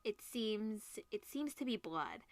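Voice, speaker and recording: A woman's voice: mid-range voice, expressive, slow pace, no room sound. A woman doing a casual let's-play, reading a visual novel aloud with amused, wry asides. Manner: amused, wry asides between read passages.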